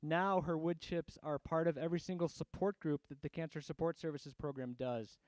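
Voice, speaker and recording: Smooth-voiced, friendly man emceeing. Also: on the high side, fairly even intonation, fairly quick, a little mellow, dry recording. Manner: friendly, rambling, with fillers and restarts. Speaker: event host introducing readers. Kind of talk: casual emcee introductions.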